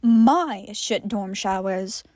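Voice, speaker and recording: A woman's voice: mid-range voice, extremely slow, darkish tone, no echo, clean studio sound. A woman voicing a prickly, indignant educated man in an audio story, huffy, scornful and precise. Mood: bitter, hurt.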